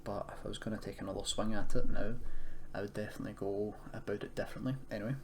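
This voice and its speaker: A man with a fairly low voice talks through a software tutorial in a casual, unscripted manner. He is hesitant as he explains each step. Light noise and some keyboard typing sit behind him. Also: level tone, quick pace. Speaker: tutorial presenter. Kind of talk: casual screen-recorded tutorial. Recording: noisy home recording, keyboard typing.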